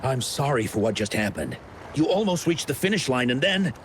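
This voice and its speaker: A man's voice: mid-range voice, very animated, moderate pace, background noise, lightly acted. A man acting an earnest, deferential official in a video game, polite and a little hesitant. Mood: apologetic, concerned.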